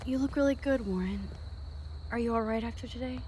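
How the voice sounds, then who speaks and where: A woman's voice: fairly high pitch, a little fast, mellow, slight background noise, natural voice. An actress playing an earnest, anxious young female student and loyal friend in a naturalistic video game. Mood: concerned.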